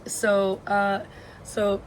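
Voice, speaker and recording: Woman, hoarse sick voice, medium pitch, instructor's informal vlog, halting delivery, outdoor patio with clear background noise. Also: monotone, rough recording. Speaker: university education instructor hosting a video blog. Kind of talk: informal course announcements to students. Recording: loud outdoor ambient vehicle noise.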